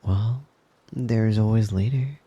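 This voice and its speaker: A man playing a possessive, doting young wolf-boy lover in intimate ASMR roleplay, coaxing and teasing in a breathy, husky voice that often drops to a whisper. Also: on the low side, dark tone, no room sound, muffled recording. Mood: teasing, tender. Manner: slow, coaxing, teasing endearments.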